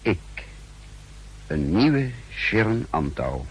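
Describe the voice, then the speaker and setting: A man playing a secret agent who recounts his mission in formal, measured words in a 1960s radio play. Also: sits low, very animated, breathy edge, full character voice, low-quality recording. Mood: uneasy, suspenseful. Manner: formal, measured, literary diction. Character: uneasy male secret agent impersonating his double, recounting events. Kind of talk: 1960s radio play first-person narration. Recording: hissy, humming 1960s radio recording.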